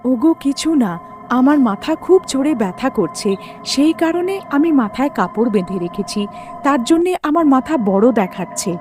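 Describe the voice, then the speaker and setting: A woman's voice: high voice, lively intonation, moderate pace, music in the background. A woman voicing a secretive wife in a village horror cartoon who hides that she is a witch, speaking evasively. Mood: evasive, placating.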